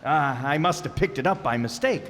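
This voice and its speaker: A man's voice: on the high side, animated, fairly quick, fairly bright tone. A man playing a grumpy, exhausted radio husband. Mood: flustered. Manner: grumbling, put-upon, stammering. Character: John, a grumpy, exhausted middle-aged husband. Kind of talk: old-time radio comedy acting. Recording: live stage recording with long reverb and faint noise.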